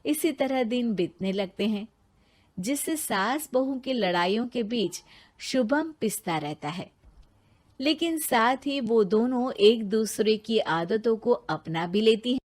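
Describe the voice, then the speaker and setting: A woman narrating a scripted animated story as the storyteller. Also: fairly high pitch, takes it slowly.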